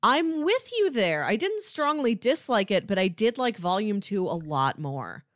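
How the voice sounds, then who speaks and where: A woman's voice: mid-range voice, big pitch swings, unhurried, no echo, studio quality. A woman co-hosting a podcast in an informal, conversational style. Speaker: podcast co-host. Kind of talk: casual chat.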